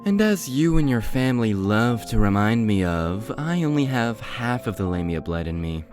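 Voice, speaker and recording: A man's voice: lowish voice, very animated, moderate pace, darkish tone, soft background music. A man playing a resentful half-blood vampire in a scripted roleplay, with a dry, casual manner. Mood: wry.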